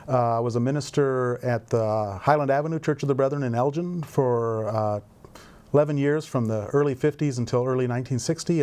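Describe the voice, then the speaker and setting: A man's voice: mid-range voice, darkish tone. A middle-aged man who directs a volunteer program, answering interview questions in a thoughtful, rambling way with many fillers. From grew up in Indonesia and Indiana. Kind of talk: conversational interview.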